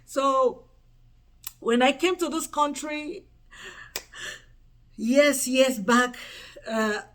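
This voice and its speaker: A woman's voice: high voice, drawn-out words. A woman from Cameroon, giving an earnest personal faith testimony and repeating words for emphasis. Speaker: channel host giving a testimony.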